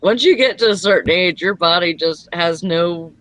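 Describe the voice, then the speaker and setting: A woman's voice: fairly low pitch, moderate pace, a bit bright, no echo, poor audio. A woman in her forties chatting casually at home, giggly and teasing. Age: 40s.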